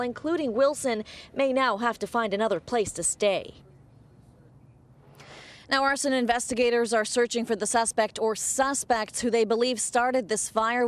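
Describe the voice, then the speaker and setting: A woman news reporter delivering a field report in a clear, measured way. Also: a little high.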